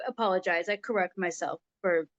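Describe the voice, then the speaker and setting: A woman's voice: on the low side, a little fast, a bit bright, dry recording, dull audio. A woman hosting an interview show, asking her guest questions in a friendly, upbeat way. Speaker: show host.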